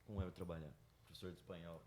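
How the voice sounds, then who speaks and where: A young man hosting a school podcast, asking questions softly and hesitantly. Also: sits low, level tone, very fast. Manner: soft, hesitant, clears throat. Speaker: student podcast host. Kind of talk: interview moderating.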